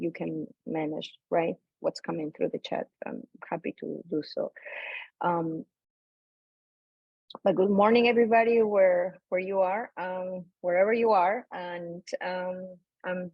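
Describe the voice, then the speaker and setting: A woman from Peru with a Peruvian accent, presenting as an academic researcher in an unscripted, halting way over a remote video call. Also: fairly low pitch, slightly rough, no room sound. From Lima, Peru. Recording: dull lo-fi video call audio.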